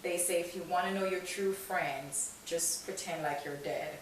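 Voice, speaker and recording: A woman's voice: lowish voice, fairly even intonation, normal speed. A woman hosting a talk show, speaking in an encouraging, emphatic, motivational way and often repeating phrases for weight. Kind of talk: conversational motivational interview. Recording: very echoey room, distant mic, hiss.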